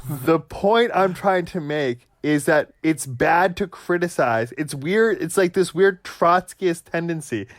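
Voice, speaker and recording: A man co-hosting a sports talk podcast who argues heatedly and swears constantly, ranting at his brother with forceful conviction. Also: on the high side, slow pace, hardly any pauses, no echo, studio quality. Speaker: podcast co-host.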